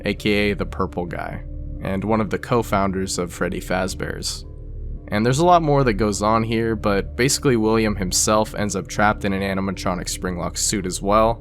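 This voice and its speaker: A man with a fairly low voice narrates a scripted video explainer. He talks the listener through it conversationally, slipping in casual asides. Soft music plays underneath. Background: background music.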